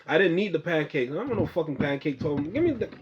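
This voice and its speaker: A man's voice: a little high, expressive, fast, noisy background. Young man, podcast host, casual slangy chat, muffled lo-fi sound. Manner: loose, slangy, laughs a lot, swears casually. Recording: muffled lo-fi room recording.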